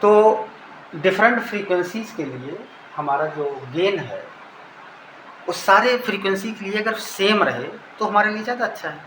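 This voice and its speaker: A man's voice: high-pitched, lively intonation, moderate pace, a bit bright. A man with an Indian accent giving an informal technical lecture step by step, repeating and rephrasing as he explains. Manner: didactic, repeats and rephrases phrases. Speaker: teacher. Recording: echoey room with faint background noise.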